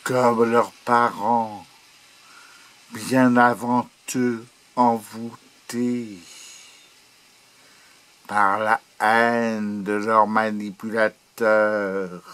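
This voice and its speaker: A man reciting his own poem in a solemn, declamatory manner, in short phrases with long pauses. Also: lowish voice, little pitch movement, fairly bright tone. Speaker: poet reading own poem. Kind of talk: dramatic poetry recitation over music. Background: music.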